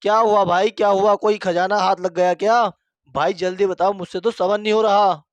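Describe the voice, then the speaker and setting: A man playing a crafty, eager thief's sidekick in a cartoon story, with a flattering manner. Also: high voice, moderate pace, dry recording, close to an everyday voice. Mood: eager, admiring.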